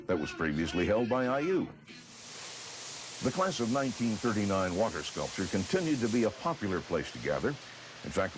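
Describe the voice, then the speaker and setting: Low-voiced American male newsreel narrator, lively inflection. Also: rough recording. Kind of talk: scripted narration. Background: music bed.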